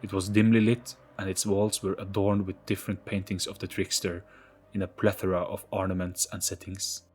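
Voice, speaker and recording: A man reading a scripted, dramatic story in an ominous, measured storytelling manner. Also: low voice, flat delivery, a little mellow, slightly rough. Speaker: audio story narrator.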